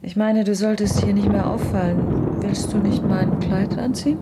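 A woman's voice: medium pitch, steady tone, normal speed, very noisy, close to an everyday voice. An actress playing an earnest, troubled, reserved woman in a radio drama, speaking in a terse, subdued way. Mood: subdued, wary. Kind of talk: naturalistic radio drama acting.

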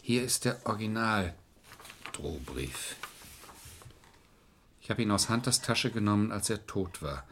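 A shrewd, domineering radio-play lawyer. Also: male voice, fairly low pitch, expressive, a little slow, long pauses, fairly bright tone, slight background noise. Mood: cool, pointed. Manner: probing, sardonic, commanding. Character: shrewd, domineering defence lawyer, scornful and commanding. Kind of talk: German crime radio play acting. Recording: old radio drama recording.

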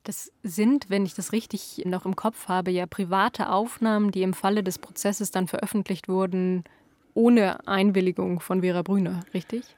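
A woman's voice: mid-range voice, steady tone, moderate pace, a little mellow, no room sound. A woman interviewing someone, putting questions and observations in a conversational way.

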